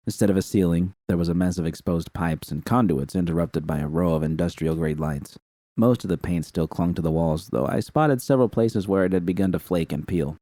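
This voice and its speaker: A man narrating a first-person fan story in a steady, unhurried reading. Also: sits low, dry recording, clean studio sound. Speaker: fan fiction reader.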